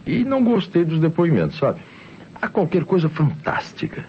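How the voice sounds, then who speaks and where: An actor playing an excitable Brazilian police officer in an old radio mystery, speaking emphatically and theatrically. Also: male voice, on the high side, normal speed. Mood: urgent, intrigued. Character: excitable male police officer reporting to his inspector. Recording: muffled, noisy old radio recording.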